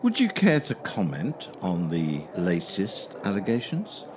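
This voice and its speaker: A man's voice: deep voice, wildly swooping pitch, unhurried, darkish tone, a little hiss or hum, heavily acted. A man acting in a radio play as a probing, insistent interviewer questioning sports figures. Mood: formal, probing.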